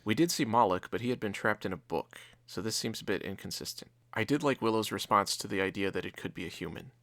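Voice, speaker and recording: A man's voice: on the low side, quick pace, a bit bright, dry recording. A man co-hosting a review, casually recapping and commenting on a TV episode. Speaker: reviewer, co-host.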